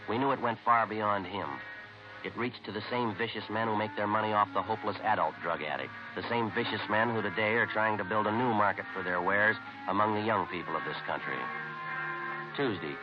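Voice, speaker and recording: An actor playing a terse police detective, narrating and questioning in a deadpan 1950s radio drama style. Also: male voice, on the low side, natural voice. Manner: deadpan, clipped. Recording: muffled vintage radio recording.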